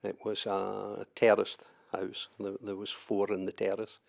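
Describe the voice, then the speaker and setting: A man's voice: lowish voice, completely flat delivery, a little slow, creaky. An older Scottish man from southwest Scotland, recalling his life in an oral history interview, hesitant with many fillers and repeated words. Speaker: interviewee, trade unionist.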